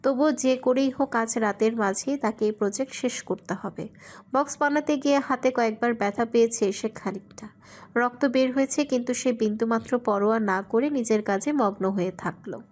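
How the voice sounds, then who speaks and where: A woman's voice: fairly high pitch, normal speed, a little mellow. A woman reading scripted narration for a romantic audio story.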